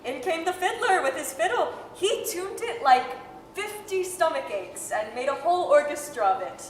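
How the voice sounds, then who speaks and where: A woman acting as a vivid, measured storybook narrator in a staged 1930s-style radio drama. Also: high-pitched, animated. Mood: evocative. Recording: echoey hall, faint noise.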